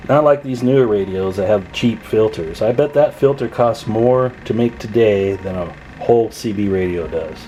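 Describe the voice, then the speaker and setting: Low-voiced man, folksy and chatty. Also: moderate pace, few pauses, dark tone, a little hiss or hum, poor audio. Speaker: radio repair technician and video host. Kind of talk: casual hands-on demonstration narration. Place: indoors at a workbench.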